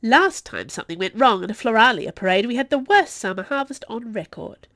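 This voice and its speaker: A woman voicing a chatty, excitable young woman in a storybook, gushing as she talks. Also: a little high, moderate pace, dry recording, studio quality, heavily acted.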